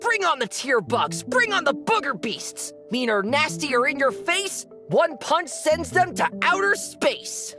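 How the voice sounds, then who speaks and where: High, wildly animated little creature. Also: female voice, a little slow, hardly any pauses, over music. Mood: boisterous chanting. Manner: chanting in simple bursts and rhymes. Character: small excitable imaginary-friend creature, fiercely loyal, boisterous. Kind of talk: animated game dialogue.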